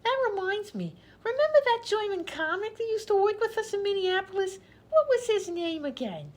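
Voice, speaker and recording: A woman's voice: very high voice, sing-song, moderate pace. A woman playing a chatty, scatterbrained, easily distracted hospital patient in a comedy radio sketch.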